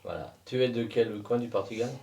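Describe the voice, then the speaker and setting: A man's voice: mid-range voice, brisk, gravelly, darkish tone. A man interviewing a guest in a casual style with short questions, heard at some distance from the microphone. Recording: voice distant from the mic.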